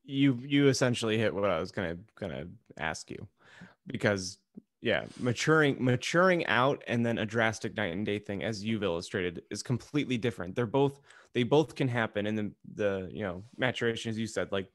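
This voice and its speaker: A man's voice: on the low side, animated, fairly quick, no echo, studio quality. A man co-hosting a podcast in casual, conversational talk. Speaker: podcast co-host. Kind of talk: casual chat.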